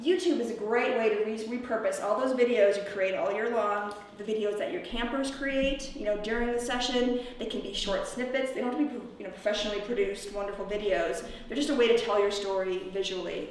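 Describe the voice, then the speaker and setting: Female workshop speaker, informal and brisk, recorded at a distance in a very reverberant room. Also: mid-range voice. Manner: checks in with listeners using tag questions. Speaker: presenter at a camp leadership workshop. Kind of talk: informal conference presentation. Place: indoor room, presenting to a live group. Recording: echoey room from far off, faint noise.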